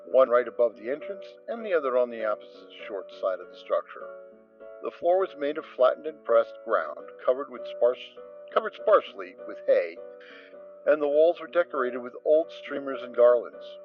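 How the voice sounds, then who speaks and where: Steady male storyteller, slightly muffled. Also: medium pitch, moderate pace, faint music behind. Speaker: narrator. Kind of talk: dramatic reading of fan fiction.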